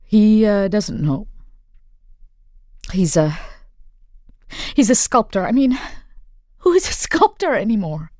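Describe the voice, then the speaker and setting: A woman's voice: mid-range voice, big pitch swings, slow pace, long pauses, darkish tone, no room sound, clean studio sound. An actress playing a guarded, disenchanted young woman writer in an audio drama, with a blunt, sardonic manner. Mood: wry. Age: young adult. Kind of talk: naturalistic audio drama acting.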